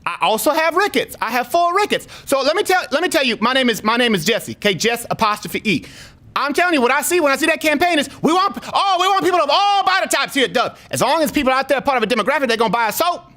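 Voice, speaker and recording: A very high, sharp-edged voice an aggrieved gender non-conforming single mom on a prank complaint call. The speech is streetwise and emphatic, rambling along and circling back on its demands. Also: male voice, lively intonation, quick pace, heavily acted. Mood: indignant. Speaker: prank caller. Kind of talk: improvised prank phone call.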